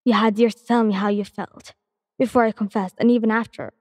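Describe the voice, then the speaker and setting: A young woman speaks sharply and indignantly, turning away a love confession, as the narrator voices her. The voice is breathy, with a long reverb tail behind it. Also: a little high, fairly even intonation, brisk, darkish tone, natural voice. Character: young woman, angry then tearful.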